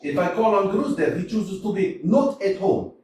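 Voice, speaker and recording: A man's voice: high voice, lively intonation, normal speed. Smirnov, a man playing a blustering, irate landowner. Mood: ranting. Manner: ranting, exclamatory, swinging between mutters and shouts. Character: irate middle-aged male landowner chasing a debt, blustering. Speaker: actor in a community staged reading. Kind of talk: staged play reading, theatrical. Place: small community theater stage. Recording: echoey theater from far off, faint hiss.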